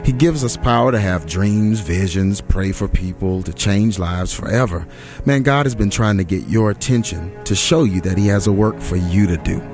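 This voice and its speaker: An actor playing a pastor who speaks fervently about God's power. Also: male voice, low voice, moderate pace, a little mellow, faint music behind, natural voice. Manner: fervent, preachy. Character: encouraging young pastor. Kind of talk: audio drama acting.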